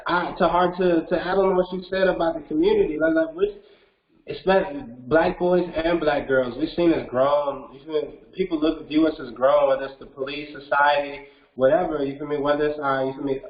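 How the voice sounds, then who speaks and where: A young man in his twenties speaks earnestly in a casual interview over a video call. He talks a bit higher than most men, restarts his sentences and keeps dropping "you feel me". The audio is roomy and dull. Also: steady tone, brisk. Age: 20s. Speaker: rapper guest.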